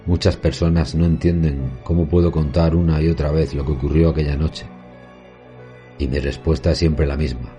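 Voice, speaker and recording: Man acting very deep, dark-voiced haunted professor. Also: steady tone. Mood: sombre recollection. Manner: measured, literary, solemn storytelling. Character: haunted male professor recounting a horror tale. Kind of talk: scripted horror narration. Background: music.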